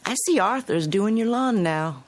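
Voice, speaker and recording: An actress playing a polite, fretful middle-aged neighbor with a prim, hesitant, insinuating manner in a radio drama. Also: female voice, mid-range voice, animated, normal speed, natural voice. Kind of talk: naturalistic radio drama dialogue.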